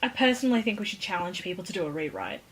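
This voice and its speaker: A woman's voice: lowish voice, expressive, rapid-fire, a bit bright, slight background noise. A woman co-hosting a podcast, chatting casually and cracking wry jokes. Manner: wry, joking. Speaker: podcast co-host. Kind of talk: casual comedic chat.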